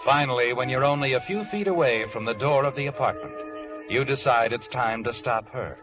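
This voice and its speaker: An actor playing a knowing, mysterious narrator, telling a 1940s radio mystery in the second person with dramatic menace. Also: male voice, mid-range voice, lively intonation, fairly quick, natural voice. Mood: ominous, knowing. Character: omniscient mysterious narrator taunting the protagonist. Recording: dull vintage radio recording. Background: dramatic music.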